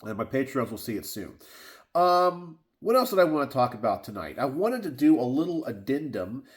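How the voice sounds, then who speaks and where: A man's voice: mid-range voice, very animated, moderate pace. A middle-aged man from Texas, hosting his gun channel in a casual solo chat from his garage. He sounds stuffed up and sniffly and rambles, with many fillers and restarts. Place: home garage.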